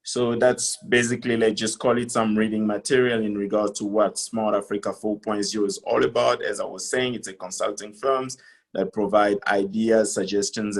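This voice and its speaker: A middle-aged man from the Democratic Republic of Congo giving a business presentation on a video call, speaking in a halting, deliberate way. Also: on the low side, rough recording. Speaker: conference speaker, company founder.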